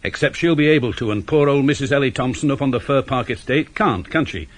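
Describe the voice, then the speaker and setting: Actor playing a dry, blunt British police inspector. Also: male voice, medium pitch, fast talker, natural voice. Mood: brooding, indignant. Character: seasoned, cynical male police inspector, brusque and shrewd. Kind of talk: 1980s British radio drama acting.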